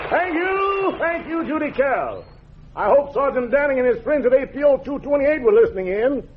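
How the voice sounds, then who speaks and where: A man's voice: very high-pitched, big pitch swings, moderate pace, background noise. A man hosting a 1940s radio show with slangy, playful jive patter as he announces the acts. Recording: dull, lo-fi 1940s radio recording.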